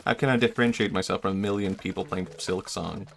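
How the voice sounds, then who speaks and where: A man's voice: low voice, fairly even intonation, fast talker, vocal fry, slight background noise. A young man streaming a game, chatting casually and thinking aloud, often trailing off and restarting his sentences. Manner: rambling and self-reflective, trails off, many fillers and restarts. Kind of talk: casual chat while gaming.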